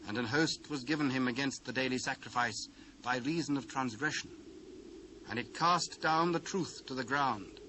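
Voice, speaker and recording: A man's voice: medium pitch, normal speed, background noise. Solemn British male scripture narrator. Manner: solemn, formal storytelling.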